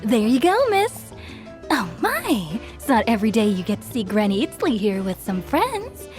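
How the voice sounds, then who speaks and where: A friendly young tavern keeper in a game, chatting away in a high, bouncy voice. She is warmly gossipy with her customers, over soft game music. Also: sing-song, normal speed. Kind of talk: video game voice acting.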